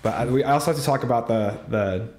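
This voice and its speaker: A man's voice: mid-range voice, quick pace, a little mellow, slight background noise. Chatty man in an echoey room. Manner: chatty, enthusiastic, lists cast members. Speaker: podcast co-host. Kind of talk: casual movie review chat.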